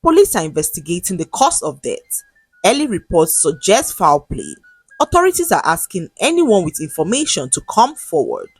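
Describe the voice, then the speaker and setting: A woman storyteller voicing a formal, measured television news anchor delivering grim news. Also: fairly low pitch, wildly swooping pitch, breathy edge, no echo, soft background music, heavily acted. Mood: grave formal. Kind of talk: news bulletin within a story.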